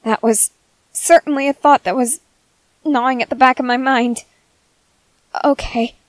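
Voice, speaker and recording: Romance audio: young woman playing a sweet, stammering girl, high and dry. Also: fairly even intonation, unhurried, no echo. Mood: nervous, eager. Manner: stammering, polite and formal phrasing. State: crying with happiness. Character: shy earnest young woman, sweet and formal. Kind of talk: romance roleplay audio addressed to the listener.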